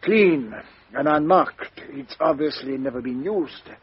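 Fastidious sleuth, hesitant, slightly high, 1950s radio play, dull lo-fi. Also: male voice, breathy edge, no echo. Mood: musing. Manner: hesitant, musing, stammering repeats. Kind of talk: 1950s radio drama acting. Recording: dull lo-fi old radio recording.

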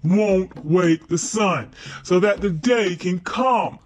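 Storybook lion king, male, solemn and commanding, voice dropped low, declamatory. Female reader performs. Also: slow pace, slight background noise. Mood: solemn, proclaiming. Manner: solemn, declamatory, repetitive cadence. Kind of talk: storybook character reading.

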